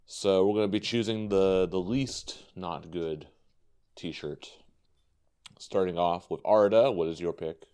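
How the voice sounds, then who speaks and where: Man, podcast host, casual, fillers, laughs easily, low, smooth voice. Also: takes it slowly, warm tone.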